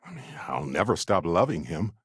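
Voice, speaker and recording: A man playing a wry, warm-hearted space explorer companion in a video game, with playful, conversational asides. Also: low-pitched, sing-song, normal speed, breathy edge, no room sound, studio quality, full character voice. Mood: earnest, tender.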